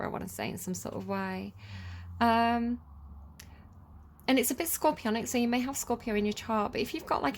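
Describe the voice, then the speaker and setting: Creaky-voiced Manchester woman, chatty tarot reader. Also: medium pitch. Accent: Manchester English. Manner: informal, hesitant, thinking aloud. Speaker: tarot reader, channel host. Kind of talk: casual, chatty tarot reading to viewers.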